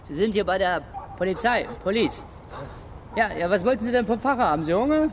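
A man's voice: high voice, lively intonation, brisk, slight background noise. An actor playing a patient, slightly overwhelmed village policeman who explains things simply and colloquially in a radio drama. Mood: bemused, patient.

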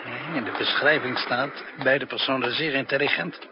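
A man's voice: mid-range voice, fairly even intonation, normal speed, noisy background, close to an everyday voice. Man acting a stern secret-police chief, muffled sound. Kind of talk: 1960s Dutch radio drama acting. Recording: muffled 1960s radio recording with music.

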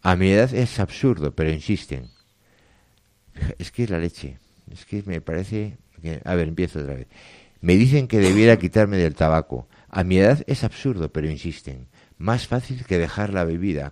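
A man co-hosting a casual literature chat, reading lines aloud and musing on them, often trailing off quietly. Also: sits low, no room sound. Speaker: co-host discussing poetry.

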